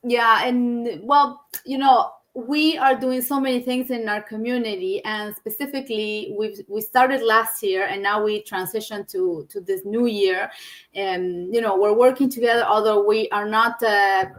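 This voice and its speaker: A woman hosting a live-stream chat on a video call. She talks in a halting way and often restarts her phrases. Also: on the high side, steady tone. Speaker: host.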